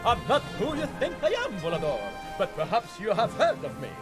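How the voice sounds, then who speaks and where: Game role: bumbling masked young hero, grandiose boaster, high-pitched and extremely animated. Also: male voice, brisk, over music. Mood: grandiose, boastful. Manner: grandiose, mock-archaic, stammering when flustered. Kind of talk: cartoonish swashbuckling game acting.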